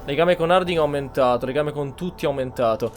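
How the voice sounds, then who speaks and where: A man's voice: a little high, fast talker, faint music behind. An Italian man giving casual gameplay commentary, musing aloud as he plays. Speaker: gamer, let's player.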